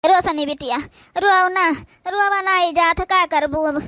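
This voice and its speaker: Lady doing kindly village auntie for cartoon, awadhi accent, really high voice, dry sound, motherly n soothing. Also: unhurried, sharp tone, no echo, heavily acted. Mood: sad, consoling. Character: kindly, protective village aunt consoling her orphaned niece. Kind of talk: village cartoon comedy-drama acting.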